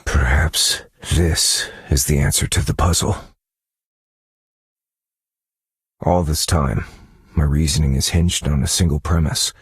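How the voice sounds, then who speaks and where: Gravel-deep brooding detective from a mystery game. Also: male voice, steady tone, no room sound, studio quality, heavily acted. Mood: pensive. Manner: grave, measured, ominous storytelling. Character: brooding male detective piecing together a dark mystery. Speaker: detective narrator of a game story. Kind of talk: scripted dramatic video game narration.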